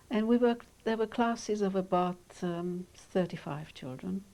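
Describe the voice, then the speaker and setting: An older woman whose first language is Hungarian, speaking in measured, reflective sentences with pauses as she is interviewed about her childhood. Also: mid-range voice, warm tone. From Romania. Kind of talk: oral history interview.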